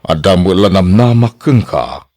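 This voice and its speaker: A man's voice: low voice, animated, unhurried, warm tone. A man playing a lovesick old suitor in a radio drama, stammering and persistently pleading, with an Ilocano accent.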